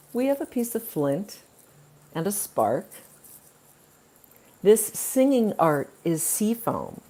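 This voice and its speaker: A woman reciting poetry in a spoken-word performance, with measured, deliberate phrasing and long pauses. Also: fairly low pitch, warm tone. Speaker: poetry reader.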